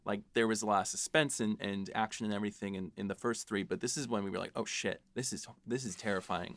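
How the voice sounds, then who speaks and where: Lower-voiced man with a bright edge. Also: no room sound. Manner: enthusiastic, asks the questions, swears casually. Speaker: writer and podcast co-host. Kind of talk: casual behind-the-scenes chat.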